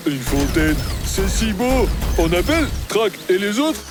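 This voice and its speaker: A man's voice: high voice, big pitch swings, a little slow, music in the background. A man playing a naive, strong young dinosaur sidekick, kind but questioning and a little hesitant, in a children's cartoon dub. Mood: earnest. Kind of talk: children's animated series dub.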